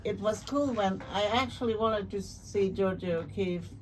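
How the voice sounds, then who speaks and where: An older woman and painter, a mother reminiscing softly in a casual family chat. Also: mid-range voice, normal speed, crowd noise. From Hungarian family. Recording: slightly distant mic, faint background noise.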